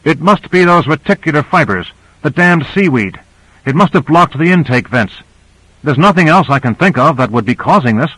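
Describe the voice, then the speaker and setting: A man's voice: fairly high pitch, lively intonation, normal speed, fairly bright tone, no echo, pitched above the performer's own voice, poor audio. A man voicing an anxious, urgent male submarine pilot in an audiobook. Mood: anxious, frustrated.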